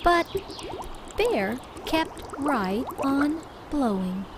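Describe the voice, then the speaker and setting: A woman reading a storybook aloud for young children in an expressive, deliberate way, drawing out key words. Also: high-pitched, background noise. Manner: expressive, deliberate, with drawn-out emphasis. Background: background music.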